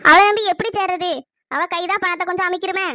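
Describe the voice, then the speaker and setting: A bright, extremely high cartoon voice acted by a woman for a trusting village big sister. She chats and soothes, recorded dry. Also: steady tone, fast, no room sound. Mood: curious, chatty. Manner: chatty, placating. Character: older-sister village neighbour, trusting, sensible peacemaker. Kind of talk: cartoon village comedy dialogue.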